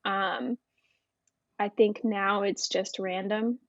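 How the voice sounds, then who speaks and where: A woman's voice: mid-range voice, steady tone, slow pace, no echo, muffled recording. A young American woman giving a casual, conversational explanation from her own experience as an interview guest. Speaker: interview guest, former Navy air traffic controller.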